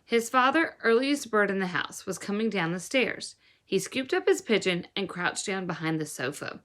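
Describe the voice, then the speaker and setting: Woman reading a storybook aloud, lively intonation. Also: medium pitch, moderate pace. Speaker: teacher reading a novel aloud to pupils. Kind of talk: storybook read-aloud narration.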